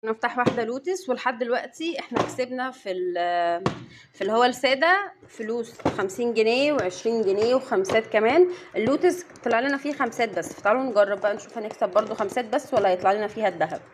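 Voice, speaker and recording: Young Egyptian woman, home vlog mom, brisk chatty narration to camera, noisy phone audio with wrapper crinkle. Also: fairly high pitch. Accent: Egyptian Arabic. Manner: chatty, addressing viewers directly. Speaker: mother vlogger. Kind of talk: casual running commentary while unwrapping candy. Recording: steady noise with wrapper crinkling.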